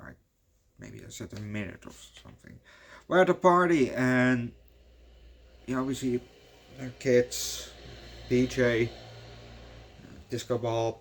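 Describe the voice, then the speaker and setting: Dutch man, native speaker, middle-pitched, casual rambling reviewer, swears a lot. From Netherlands. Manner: rambling, swears often, peppers talk with "you know". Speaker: movie reviewer.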